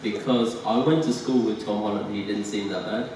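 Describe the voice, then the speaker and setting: A man's voice: fairly low pitch, normal speed. A young adult man asks a panel a question, giggling nervously as he goes. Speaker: audience questioner. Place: large convention hall. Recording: distant, echoey hall, loud noise.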